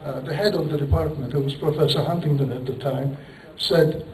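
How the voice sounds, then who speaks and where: A man lectures to a conference audience, thinking aloud, apologizing for rambling and tossing out rhetorical questions. His voice sits fairly high for a man. It carries through a reverberant hall from some distance off the mic. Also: steady tone, brisk, a little mellow, slight background noise. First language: Turkish. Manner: rambling, self-deprecating, poses rhetorical questions, chuckles. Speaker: engineering professor giving a lecture. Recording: echoey hall, voice picked up from a distance.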